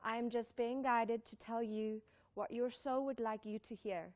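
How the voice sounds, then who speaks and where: High-voiced woman motivational speaker. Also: fairly even intonation, a little slow, darkish tone. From born in Zimbabwe, raised in South Africa. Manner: exclamatory, emphatic, repeats key lines, puts questions to listeners. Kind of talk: live motivational talk to an audience. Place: live talk before an audience.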